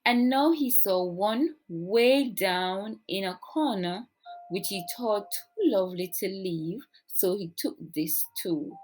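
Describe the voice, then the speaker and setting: A woman reading a children's story aloud in an expressive, deliberate storytelling manner. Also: medium pitch, soft background music, low-quality recording. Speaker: storybook reader, teacher.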